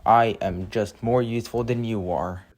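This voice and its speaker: A man's voice: on the low side, fairly even intonation, normal speed, darkish tone, dry recording, natural voice. Music plays under an oily, scheming diesel engine voiced by a man. The delivery is smug and boastful, as a troublemaker in a children's train story.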